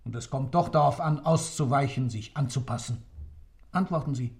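An actor in a German radio drama playing an intense, brooding man with a theatrical, deliberate delivery. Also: medium pitch, creaky, lightly acted. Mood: impassioned. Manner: theatrical, deliberate, swinging from hushed to forceful.

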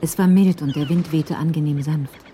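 A woman's voice: low-pitched, fairly even intonation, moderate pace, dark tone, slight background noise. A woman giving scripted narration in a radio crime drama.

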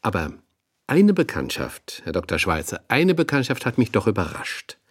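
A courteous radio interviewer speaks in a fairly low voice. He is curious and probing, yet hesitates politely as he frames each question. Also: wildly swooping pitch, normal speed, husky, full character voice. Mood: probing, impressed.

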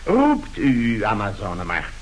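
A man's voice: fairly low pitch, huge pitch swings, measured pace. An actor playing a blunt, scornful, wealthy businessman and disapproving father in a radio play. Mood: challenging. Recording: hissy old radio drama recording.

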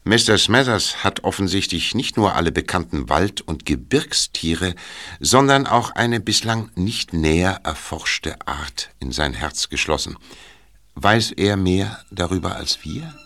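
A man's voice: sits low, animated, a little slow, a bit bright. A man narrating a scripted children's audio drama.

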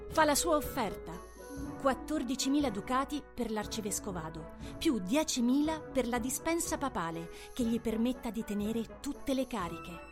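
A woman with a fairly high voice narrates a historical radio drama. She reads the scripted chronicle in deliberate, declarative phrases, with music playing underneath.

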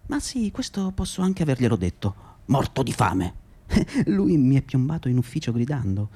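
An actor playing a condescending, self-justifying labour agency manager in a radio drama, with patronising, hesitant, repetitive speech. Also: male voice, medium pitch, big pitch swings, normal speed, mellow, slightly rough. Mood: defensive, flustered. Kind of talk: radio drama monologue and dialogue.

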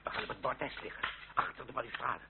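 An actor playing a stubborn, proud aristocratic chevalier with a sputtering, blustering manner in an old radio drama. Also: male voice, mid-range voice, fairly even intonation, quick pace, vocal fry, close to an everyday voice. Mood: flustered. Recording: tinny, noisy old radio recording.

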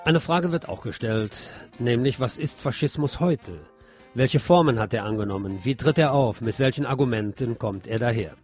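A man's voice: mid-range voice, moderate pace, a little mellow. Male radio host with music behind. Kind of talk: radio monologue, theater review.

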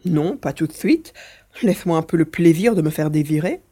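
A woman's voice: deep voice, lively intonation, normal speed, mellow, husky. A woman voicing a mischievous male mouse-beaver alien, a chatty, teasing adventurer in a French audio drama.

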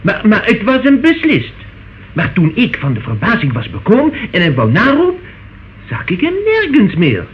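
Excitable high-voiced immigrant witness, stammering. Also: male voice, moderate pace. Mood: excited, insistent. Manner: stammering, repeating words. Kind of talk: radio play acting. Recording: muffled, noisy old radio recording.

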